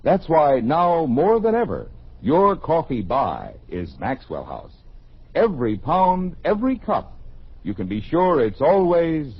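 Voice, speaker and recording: An American man reading a scripted sponsor pitch in an emphatic vintage radio announcing style. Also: sing-song, unhurried, breathy edge. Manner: emphatic sales delivery. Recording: muffled old radio broadcast.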